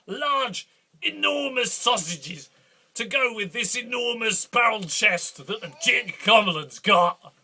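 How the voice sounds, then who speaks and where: Brief: a man voicing a boastful duke, husky, high and swooping, full of bluster. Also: expressive, unhurried, pushed and bright, full character voice. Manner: rambling, bombastic, boastful. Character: boastful blustering male Scottish duke, belligerent. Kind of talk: improvised comic character monologue.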